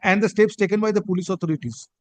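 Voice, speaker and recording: A man with a Bengali Indian accent speaking as a judge, dictating a court order in halting, deliberate phrases and calling out punctuation. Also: high-pitched, no echo.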